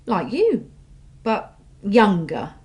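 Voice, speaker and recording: A woman's voice: medium pitch, huge pitch swings, very slow, darkish tone, exaggerated voice. An actress playing an earnest young police assistant in her twenties in a radio drama, hesitant but insistent. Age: 20s.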